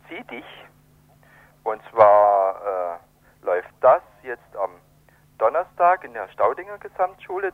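A man being interviewed, explaining things in a halting way and searching for words, over a telephone. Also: mid-range voice, no room sound. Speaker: event organiser, interviewed guest. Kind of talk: spontaneous radio interview by phone. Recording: narrow tinny telephone line.

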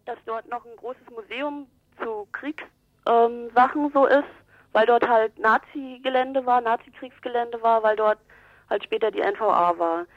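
A woman's voice: a little high, unhurried, dry recording. A woman giving a spontaneous eyewitness account as an activist, hesitant and loosely phrased. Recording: dull telephone-like radio clip.

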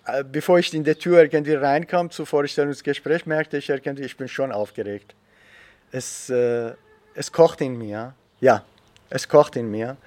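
Man, medium pitch, reflective, halting, interview storytelling. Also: fairly even intonation, darkish tone, dry recording. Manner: reflective, with frequent fillers and restarts. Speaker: interviewee, education trainer. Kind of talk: interview narrating a personal story.

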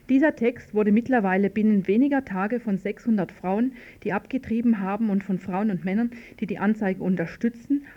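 A female radio reporter with a mid-pitched voice reads a scripted political report. The archive recording sounds slightly dull and lo-fi.

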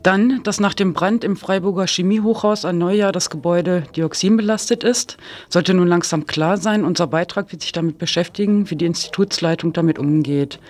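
A man's voice: high voice, brisk. A man presenting on radio, reading a scripted preview of upcoming news topics. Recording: music playing under the voice. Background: music.

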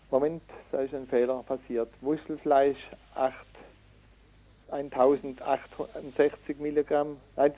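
Male activist interviewee, soft mellow tone, mid pitch, halting delivery with indignant emphasis. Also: poor audio. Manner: halting, with many hesitations and self-corrections. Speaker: activist interviewee from a citizens' environmental initiative. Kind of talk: radio interview, reading out figures and arguing.

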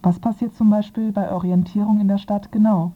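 A woman's voice: medium pitch, fairly even intonation, a little fast. Woman radio reporter, thin phone-like sound. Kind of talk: scripted radio magazine narration.

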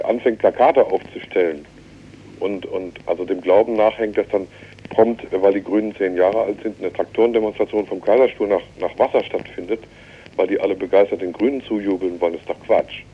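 A man with a husky voice giving a spontaneous interview, halting and repeating himself as he goes. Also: low-pitched, muffled recording. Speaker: interviewee, local Green politician.

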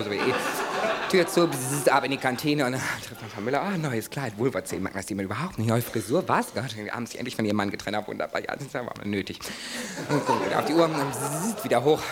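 A man's voice: medium pitch, a bit bright. A man delivering a rambling comic monologue in a breathless, chatty, exaggerated run-on manner. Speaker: cabaret performer.

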